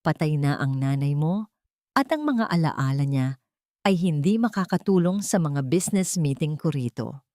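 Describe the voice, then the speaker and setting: A woman narrator plays a cold, arrogant husband in an audio story. The voice is low and dry, with a Filipino accent and a dismissive, commanding manner. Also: animated, moderate pace, dark tone, no room sound. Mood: threatening. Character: arrogant, cheating husband, cold and domineering man.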